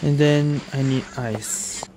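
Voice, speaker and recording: A man's voice: mid-range voice, fairly even intonation, takes it slowly, heavy background noise. A man doing casual gameplay commentary, muttering his reactions and reading game text aloud. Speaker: gaming video host playing an action RPG. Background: game music and effects.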